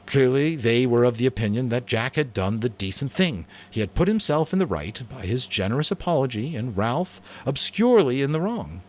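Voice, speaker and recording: A man narrating a novel as a dramatic audiobook reading, expressive and theatrical. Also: mid-range voice, moderate pace, hardly any pauses.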